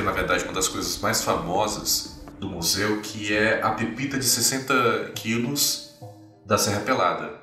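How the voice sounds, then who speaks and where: A man acting as game master, narrating scenes descriptively in measured short phrases with pauses between them. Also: on the low side, bright, forceful tone. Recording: echoey, distant, with music behind. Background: background music with guitar.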